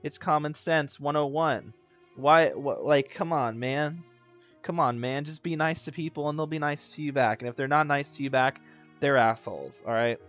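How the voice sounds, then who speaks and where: A man's voice: on the high side, moderate pace, a bit bright. A man doing casual gameplay reaction commentary as a streamer, blunt, slangy and sweary. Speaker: gaming streamer. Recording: faint game music behind the voice.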